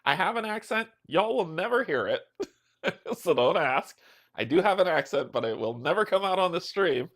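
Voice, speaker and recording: A man's voice: high-pitched, lively intonation, normal speed, a bit bright. A man hosting a casual livestream of a tabletop game, chatty and upbeat, greeting his viewers by name and joking about himself. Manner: chatty, upbeat, self-deprecating. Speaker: streamer and host.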